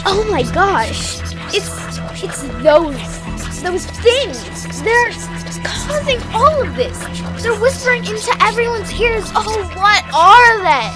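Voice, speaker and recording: A woman's voice: very high voice, big pitch swings, slow pace, loud music behind the voice, exaggerated voice, rough recording. A female performer playing an earnest, anxious young girl in an audio drama, with hesitant, wide-eyed delivery. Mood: alarmed.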